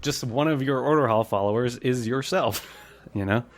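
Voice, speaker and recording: A man's voice: mid-range voice, moderate pace. A man co-hosting a podcast, talking in a casual, conversational style. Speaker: podcast co-host. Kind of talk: casual chat.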